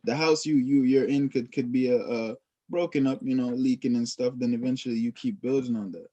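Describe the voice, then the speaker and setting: A man's voice: medium pitch, steady tone, brisk, mellow, highs cut off. A young man chatting casually, slangy and full of questions. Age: young adult. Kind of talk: casual chat.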